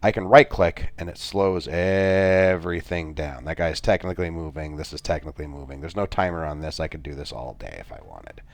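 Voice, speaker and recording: A middle-aged man with a soft, very low voice talks through a game walkthrough. His delivery rambles, dotted with filler sounds and self-deprecating asides. The sound is dry, with no room around it. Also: fairly quick, gravelly, few pauses, dry recording. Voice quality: soft-spoken. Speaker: gaming video creator. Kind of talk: gameplay walkthrough commentary.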